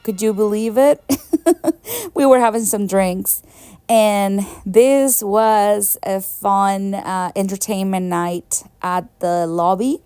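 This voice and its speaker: A woman's voice: mid-range voice, takes it slowly, mellow, clear, resonant tone, no echo, faint music behind. A young woman from Venezuela narrating her travel vlog in a chatty, enthusiastic way, laughing often. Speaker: travel vlogger. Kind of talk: casual travel vlog voice-over.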